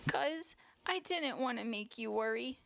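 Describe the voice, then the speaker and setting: Woman as a high-pitched, tearful little girl bear. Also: steady tone, takes it slowly, gravelly, exaggerated voice. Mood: meek, sad. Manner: plaintive, crying, childlike. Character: sad, hurt young girl bear, tearful.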